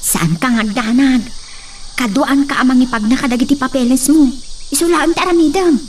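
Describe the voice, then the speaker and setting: A polite young village woman, played by a young woman in a homemade drama, speaking gently and reassuringly. Her voice is high. She is recorded from some distance, with faint insects behind her. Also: fairly quick, slight background noise, close to an everyday voice. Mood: kind. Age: young adult. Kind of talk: amateur drama acting.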